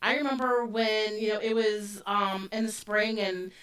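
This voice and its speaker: A woman in her forties talks through a true-crime case in a loose, chatty way with plenty of fillers. Her voice is bright and forward, though she sits a little back from the microphone, so it sounds slightly distant. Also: medium pitch, flat delivery, unhurried. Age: 40s. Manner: loose and conversational, with frequent fillers. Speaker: podcast co-host. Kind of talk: casual true-crime chat. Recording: voice a bit distant from the mic.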